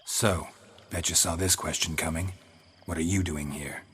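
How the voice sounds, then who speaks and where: An actor playing a stoic, world-weary monster hunter in a fantasy video game, with terse, dry, deadpan delivery. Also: male voice, low-pitched, normal speed, slight background noise. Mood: dry, wry. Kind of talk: dramatic video game dialogue.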